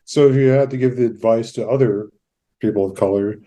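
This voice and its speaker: A man hosting a conversational interview, measured and attentive, offering brief affirmations between his questions. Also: mid-range voice, mellow. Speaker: podcast host, therapist.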